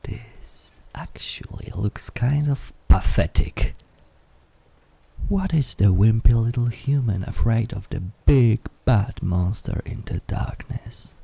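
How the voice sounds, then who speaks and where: A man playing an incubus demon in an intimate roleplay audio, with a husky, breathy voice that often drops toward a whisper. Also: mid-range voice, expressive, slow, dark tone, full character voice. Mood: menacing, teasing. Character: incubus sleep paralysis demon, menacing turned awkwardly tender.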